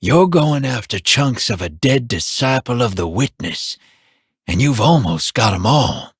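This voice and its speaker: Wry husky vet in a game, slow and teasing. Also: male voice, medium pitch, expressive, dry recording, studio quality, full character voice. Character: wry, seasoned male veteran, teasing and streetwise. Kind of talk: video game dialogue.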